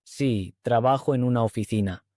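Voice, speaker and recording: Male host-teacher, mellow voice, even measured read, dry close sound. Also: medium pitch, no room sound. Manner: clear, even, measured delivery. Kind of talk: slow scripted dialogue reading.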